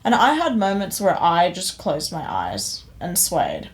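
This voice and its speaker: A woman's voice: fairly low pitch, wildly swooping pitch, a little slow. A young woman from Indonesia co-hosting a podcast, chatting enthusiastically and a bit rambly about a show she saw. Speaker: podcast co-host. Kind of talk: casual review chat.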